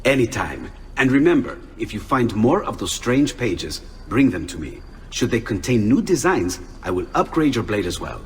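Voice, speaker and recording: An actor playing a playful, eccentric Renaissance inventor with an Italian accent in a theatrical, expressive game performance. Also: male voice, fairly low pitch, normal speed, slight background noise. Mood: friendly, calm. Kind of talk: video game dramatic acting. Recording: long reverb, music behind.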